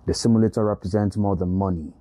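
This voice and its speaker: A man's voice: deep voice, fairly even intonation, quick pace, warm tone. A man with a Nigerian accent narrating a scripted news feature as a reporter, with background noise.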